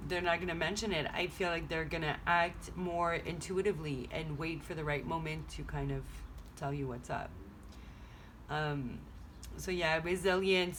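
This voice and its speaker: A woman's voice: low-pitched, fairly bright tone, a little hiss or hum. A woman whose first language is French, chatting casually as she reads tarot cards at home, rambling and thinking aloud. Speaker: tarot reader. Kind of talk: casual card-reading vlog. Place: home room.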